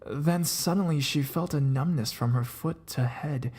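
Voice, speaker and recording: A man calmly narrating reader-submitted ghost stories in a measured, scripted way over guitar music. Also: on the high side, breathy edge. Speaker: narrator of a ghost story channel.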